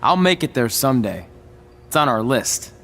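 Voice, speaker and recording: A man's voice: medium pitch, very animated, normal speed, a bit bright. An actor playing a cocky, wisecracking young male wrestling trainee in video game dialogue. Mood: defensive. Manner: flippant, wisecracking.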